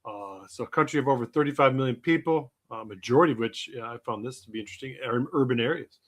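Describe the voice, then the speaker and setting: A man's voice: medium pitch, quick pace. A man co-hosting a podcast, introducing a guest in a rambling, conversational way. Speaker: podcast co-host. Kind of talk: casual podcast talk.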